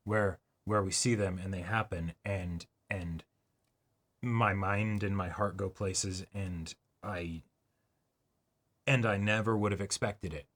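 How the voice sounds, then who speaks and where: A man with a fairly low voice talks casually as co-host of a reaction show. He is reflective and halting, piecing thoughts together and often checking in with his listener. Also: steady tone, fairly bright tone. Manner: halting, reflective, checks in with "right" and "you know".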